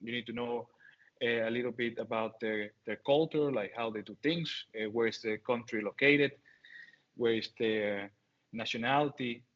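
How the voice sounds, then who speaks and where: A man's voice: mid-range voice, normal speed, highs cut off. A man in his thirties from Venezuela, speaking English with a Spanish accent and many fillers and restarts, giving a talk over a video call. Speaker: guest speaker. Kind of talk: online talk.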